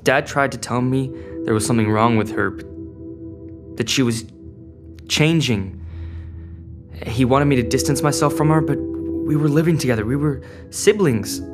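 A man's voice: mid-range voice, natural voice. Man playing a hesitant teenage boy, long reverb. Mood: troubled, regretful. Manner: hesitant, halting, self-questioning. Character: anxious, conflicted teenage boy stranded from home. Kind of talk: audio drama acting with inner monologue. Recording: long reverb, clear music behind.

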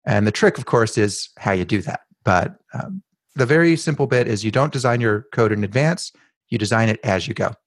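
Man explaining software design, dry sound. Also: lowish voice, animated, a little fast, no echo. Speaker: podcast guest.

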